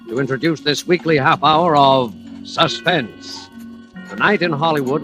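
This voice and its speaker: A man's voice: medium pitch, low-quality recording. A man announcing and hosting a 1940s radio show in a formal, scripted style. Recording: old radio broadcast with music.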